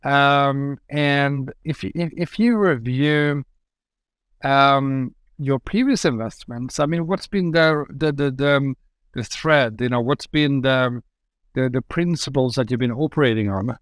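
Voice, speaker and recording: A man's voice: medium pitch, measured pace, smooth, no echo, clean studio sound. A man hosting a podcast, enthusiastic and rambling, with lots of fillers. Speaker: podcast host. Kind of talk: casual podcast interview.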